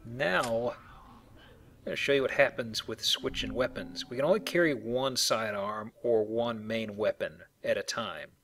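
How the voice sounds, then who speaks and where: A man's voice: medium pitch, a little slow, fairly bright tone. A man hosting a let's play, explaining things casually with a joking manner. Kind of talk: casual game commentary.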